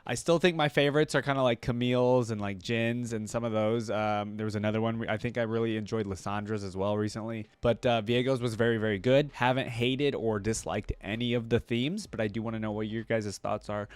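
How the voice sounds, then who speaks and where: A man's voice: fairly low pitch, steady tone, brisk, few pauses, smooth, no room sound. A man hosting a reaction video, rambling conversationally and musing aloud in casual commentary. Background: game music.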